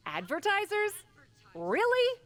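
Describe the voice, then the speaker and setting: An actress playing a bold, assertive professional wrestler in a video game cutscene, speaking in a deliberate, emphatic way. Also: female voice, extremely high pitch, wildly swooping pitch, heavily acted. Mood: incredulous.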